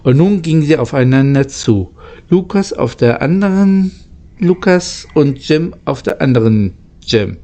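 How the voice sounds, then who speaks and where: A man reading a children's story aloud in a halting way, stumbling over words now and then. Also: fairly high pitch, expressive, mellow. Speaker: amateur audiobook reader.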